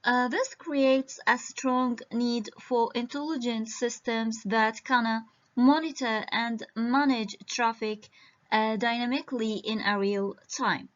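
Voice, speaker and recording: A woman from Iraq giving an academic conference presentation over a video call, halting and full of hesitations. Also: on the high side, fairly even intonation. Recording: muffled lo-fi video-call audio.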